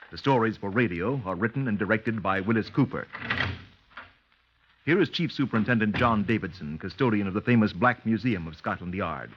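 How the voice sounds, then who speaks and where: Low-voiced male radio announcer, muffled. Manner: formal, declarative. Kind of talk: 1950s radio scripted announcement. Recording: dull, muffled 1950s radio recording.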